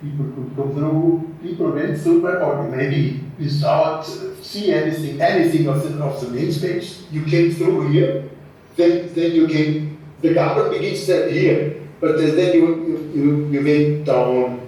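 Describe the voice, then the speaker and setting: Halting Austrian man in an echoey hall. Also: on the high side, dark tone. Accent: Austrian German. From West Austria. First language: German. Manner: halting, many repeated words and restarts. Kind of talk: casual recollection on a guided tour. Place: large exhibition space indoors. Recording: roomy hall, speaker far from mic.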